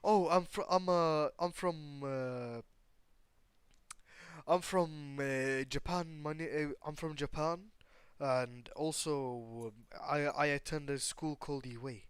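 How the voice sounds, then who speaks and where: An earnest, slightly lost teenage hero in training from an anime tale, acted out by a man. The voice is clear and polite, with hesitant phrasing, recorded dry. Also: on the high side, fairly even intonation, slow, no echo.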